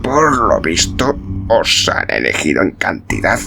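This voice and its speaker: A man's voice: deep voice, very animated, a little slow, creaky, bright, forceful tone, slight background noise, exaggerated voice. A man voicing a weary, wry wanderer rescued in the desert, plain-spoken in manner. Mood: wry, surprised.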